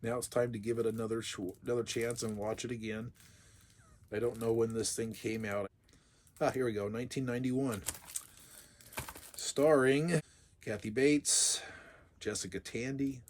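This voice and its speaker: A middle-aged man with a sick, raspy voice, chatting casually and musing aloud as he unboxes his purchases. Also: mid-range voice, fairly even intonation, normal speed, long pauses, a little hiss or hum. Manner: chatty, musing, laughs often. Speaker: collector vlogger.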